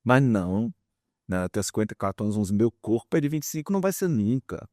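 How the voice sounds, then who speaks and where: A man's voice: fairly low pitch, sing-song, fast, a little mellow, no room sound, studio quality. A man, explaining his opinions in an interview with examples and repeated words for emphasis. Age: 50s. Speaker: guest psychologist and author.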